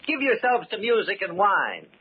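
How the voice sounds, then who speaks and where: An actor playing a grave, formal masquerade host in a 1940s radio mystery, speaking deliberately and dramatically. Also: male voice, high-pitched, wildly swooping pitch, husky, full character voice. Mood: grand, ceremonious. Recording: muffled, faintly noisy old radio recording.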